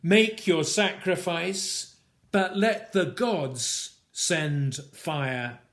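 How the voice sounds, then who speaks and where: A man with a slightly high-pitched voice recites a narrative scripture poem. He takes it very slowly, breaking it into short, weighted phrases with deliberate pauses between them. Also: animated, a bit bright. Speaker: poet reading own work. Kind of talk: dramatic poetry recitation of a Bible story.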